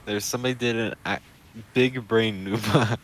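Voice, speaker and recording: A man's voice: lowish voice, steady tone, moderate pace, slight background noise. A man co-hosting a live stream, teasing and hyping up the host to chat.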